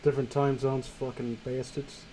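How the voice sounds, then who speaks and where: A man's voice: medium pitch, level tone, normal speed, warm tone, a little hiss or hum, poor audio. A man hosting a comedic reading show, chatting casually with sarcastic, crude banter. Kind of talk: casual joking group commentary and read-aloud.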